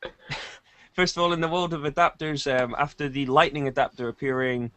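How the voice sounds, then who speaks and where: A man's voice: mid-range voice, normal speed, fairly bright tone, clear, resonant tone, no echo. A man with a mid-range voice hosts a casual tech chat. His delivery is wry and lightly sarcastic. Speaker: podcast host.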